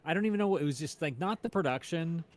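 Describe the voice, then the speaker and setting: A man co-hosting a casual chat, speaking in a loose, halting, informal way. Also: fairly high pitch, lively intonation, a little mellow. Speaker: co-host.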